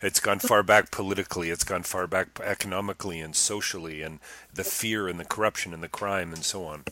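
A male interviewer with a very low voice and a bright, forceful edge. He asks long, thoughtful questions and often restarts his words. The sound is dry and close. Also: steady tone, brisk, no echo.

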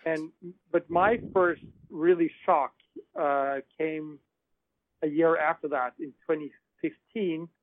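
Male director, measured hesitant delivery, slightly high voice, dry telephone sound. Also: fairly even intonation, no echo. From Norway. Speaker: filmmaker. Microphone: phone. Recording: tinny dry phone line.

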